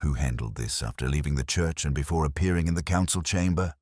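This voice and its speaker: Unhurried, dry-witted police inspector for a mystery tale, voiced by a man; rumbling low pitch, polite, close dry sound. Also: no room sound. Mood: quietly probing. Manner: gentle, measured, merely courteous. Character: courteous, unhurried male police inspector, dryly ironic.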